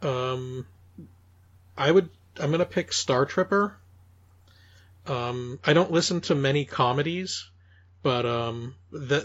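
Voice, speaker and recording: A man co-hosting a podcast in casual conversation, haltingly repeating words as he searches for his phrasing. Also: mid-range voice, a bit bright. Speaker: podcast co-host. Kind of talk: casual chat reviewing audio dramas. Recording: dull, lo-fi voice recording.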